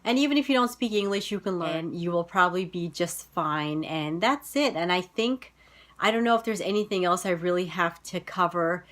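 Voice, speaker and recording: A woman's voice: lowish voice, normal speed, a bit bright. A woman in her thirties with an American accent, telling personal stories to camera in a casual vlog, full of "you know" and "like.". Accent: General American. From United States. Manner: chatty, many fillers like "you know" and "like". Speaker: vlogger.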